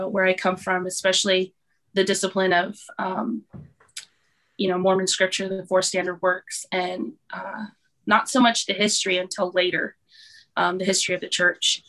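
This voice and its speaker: Podcast guest: woman in her thirties from Hawaii, mid pitch, halting and full of ums. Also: fairly bright tone, rough recording. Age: 30s. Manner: hesitant, many ums and restarts. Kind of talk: casual interview.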